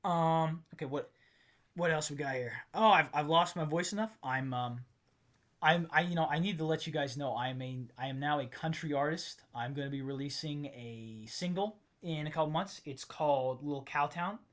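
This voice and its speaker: A man hosting a solo comedy podcast and ranting in a rambling, excitable way that keeps interrupting itself. His voice is tired and strained. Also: fairly high pitch, normal speed. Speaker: podcast host.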